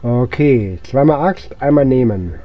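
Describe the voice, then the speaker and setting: A man's voice: medium pitch, animated, a little slow, lo-fi sound, soft background music. A man who sounds a bit under the weather, giving casual let's play commentary in short, muttered fragments to himself. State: unwell, recovering from illness. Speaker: gaming YouTuber.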